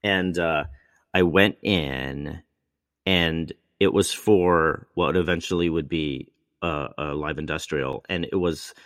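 Very low-voiced man telling stories. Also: fairly bright tone, no room sound, studio quality. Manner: rambling, many restarts and quoted asides. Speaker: actor. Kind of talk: casual storytelling chat.

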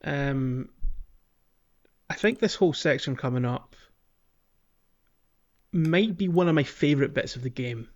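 Male podcast host, mid-pitched, casual gamer chat with meandering, hesitant explanations, slightly lo-fi sound. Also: animated, measured pace, long pauses. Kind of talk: casual video game discussion.

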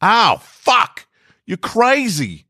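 Guy putting on a panicky bossy robber, high strained pitch, impatient and sweary, crime story. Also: wildly swooping pitch, extremely slow, bright, forceful tone, breathy edge, no echo, studio quality, heavily acted. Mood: outraged. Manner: impatient, snapping. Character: frantic, bossy, foul-mouthed bank robber passenger.

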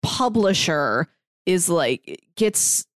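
A woman's voice: fairly low pitch, big pitch swings, extremely slow, dry recording, clean studio sound. A woman co-hosting a podcast, chatting casually about books. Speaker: podcast co-host. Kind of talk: casual book discussion.

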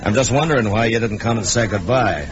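An actor playing a stern, shrewd Old West marshal, terse and commanding, with an American accent, in a radio Western. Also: male voice, on the low side, a little fast, lightly acted. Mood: wry, shrewd. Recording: muffled, noisy vintage radio recording.

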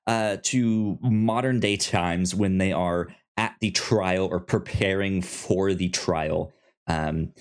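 A man hosting a casual review podcast, speaking in a halting way with stammered, repeated word starts. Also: sits low. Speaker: podcast host.

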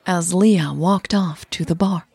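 A woman's voice: fairly low pitch, unhurried, gravelly, darkish tone, husky, no echo. A woman reading scripted romantic fiction and podcast announcements as narrator and host. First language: Dutch.

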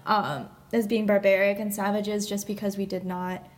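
A woman speaking in a measured way during a casual group discussion, often murmuring agreement. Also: medium pitch, level tone. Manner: measured, many affirming murmurs.

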